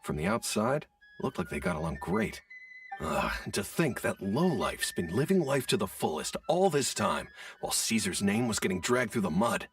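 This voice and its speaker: A man's voice: fairly low pitch, sing-song, normal speed, creaky, recorded from a distance, faint music behind. An actor playing an indignant, plain-spoken townsman in a fantasy video game, speaking with emphatic drama. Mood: bitter.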